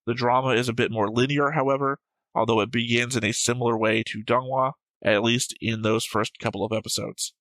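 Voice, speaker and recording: A man's voice: mid-range voice, fairly even intonation, fairly quick, fairly bright tone, dry recording, rough recording. A man co-hosting a reaction show, discussing and reading comments in a casual, analytical way with some argument and casual swearing. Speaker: co-host of anime reaction show.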